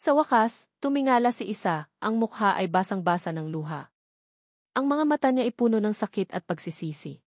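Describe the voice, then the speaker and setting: Female narrator for dramatic romance audio story, mid-pitched, dry recording. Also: expressive, moderate pace, no echo.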